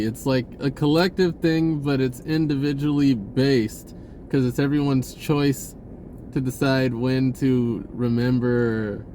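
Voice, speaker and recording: A man speaks slowly at an everyday middle pitch, halting and searching as he rambles through an informal spiritual monologue. His speech is laid-back and slangy, with repeated words. There is noticeable background noise behind him. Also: dark tone. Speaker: spiritual vlogger.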